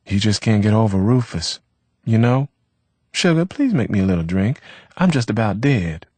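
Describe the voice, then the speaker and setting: A man's voice: fairly low pitch, sing-song, moderate pace, a little mellow, no echo, pitched above the performer's own voice. A man voicing a young woman lover who coaxes and pleads defensively, in a wheedling manner. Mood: worried.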